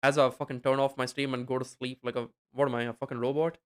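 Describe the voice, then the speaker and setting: A young man talks to his stream chat in a loose, rambling way, leaning on fillers and tossing in swear words. His voice is smooth and clear, at a middle pitch. He sounds like a casual streamer speaking into a desk mic at home. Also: level tone, quick pace. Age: young adult.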